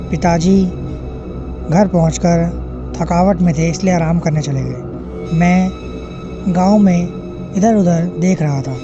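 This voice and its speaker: Man narrating horror, lively pitch, muffled sound. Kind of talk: scripted first-person horror narration. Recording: muffled lo-fi, music behind. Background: music.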